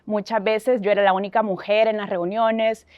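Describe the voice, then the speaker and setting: A woman's voice: medium pitch, level tone, moderate pace, clear, resonant tone, dry recording, muffled recording. A woman in her thirties from El Salvador with a Salvadoran Spanish accent, giving an earnest conference talk with frequent restarts and English phrases mixed in. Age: 30s. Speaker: conference speaker. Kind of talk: motivational talk on stage.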